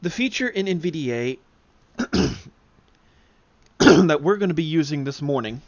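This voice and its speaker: A man giving a casual spoken tutorial as an enthusiast, in a halting way with frequent throat clearing. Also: fairly high pitch, animated, no echo. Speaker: demonstrator, flight simulator enthusiast. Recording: dull lo-fi close dry recording.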